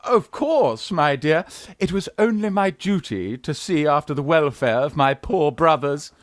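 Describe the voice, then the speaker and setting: Farce radio drama: young man posing as a genteel aunt, high, very animated, archly coy, dry recording. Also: a little slow, dry recording. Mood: flustered. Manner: flustered, haughty, archly coy. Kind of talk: Victorian farce radio acting.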